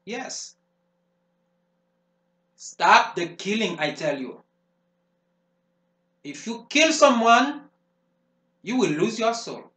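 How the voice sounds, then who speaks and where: A fervent preacher, hammering his points in repeated phrases. His voice sits high for a man. The room rings with echo around him. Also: big pitch swings, very slow, pauses often, bright, forceful tone, heavily acted. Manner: emphatic, repetitive preaching cadence. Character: fervent male preacher warning of the devil. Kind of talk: sermon. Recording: roomy echo.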